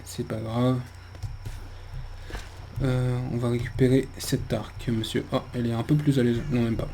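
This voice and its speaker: Guy doing a let's play, soft dark tone, medium pitch, thinking out loud, faint noise. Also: little pitch movement, a little slow. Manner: hesitant, musing aloud. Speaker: gamer, let's player. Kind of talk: casual game commentary.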